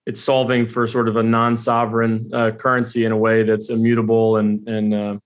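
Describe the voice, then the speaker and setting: A man's voice: lowish voice, monotone, fairly quick, clear, resonant tone. A man hosting an interview show, asking long, winding questions. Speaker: podcast host.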